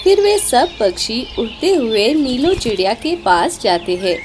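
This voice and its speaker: A woman's voice: a little high, very animated, measured pace. A woman narrating a scripted children's story. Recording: clear background noise with animal, bird sounds.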